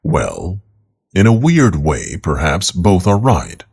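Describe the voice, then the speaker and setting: A man's voice: low-pitched, wildly swooping pitch, slow pace, creaky, a little mellow, husky. A man reading an opinion article aloud as scripted narration.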